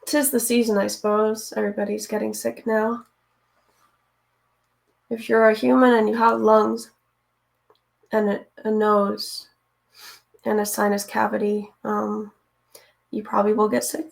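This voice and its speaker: A woman with a raspy, hoarse voice chats casually with viewers while she paints. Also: medium pitch, flat delivery, measured pace, long pauses. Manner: chatty, talks to viewers while working, often unsure what to do next. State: hoarse from reading aloud. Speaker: streamer painting live.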